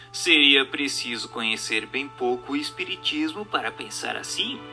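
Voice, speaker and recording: A man's voice: on the high side, bright, forceful tone. A man with a Brazilian accent reads a scripted doctrinal text as a narrator, in a formal, declamatory and rhetorical manner. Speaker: narrator of a spiritist book reading. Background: music.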